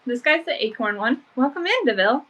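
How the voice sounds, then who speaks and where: A woman's voice: high-pitched, lively intonation, a little fast, pushed and bright. Chatty, sniffly woman selling vintage. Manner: chatty, lots of fillers like "um" and "like". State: sniffly from allergies. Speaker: online vintage seller. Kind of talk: casual live-sale chat.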